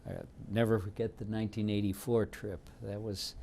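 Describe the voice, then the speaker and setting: A man in his seventies from Detroit, whose first language was Yiddish, recalling his past in an unhurried oral history interview with frequent fillers. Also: fairly low pitch, flat delivery, gravelly, warm tone, slight background noise. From Detroit, United States. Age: 70s.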